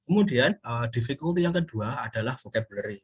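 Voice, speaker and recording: A man giving an informal recorded English lecture as a university teacher, explaining haltingly and often pausing to search for words. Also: mid-range voice, animated, husky.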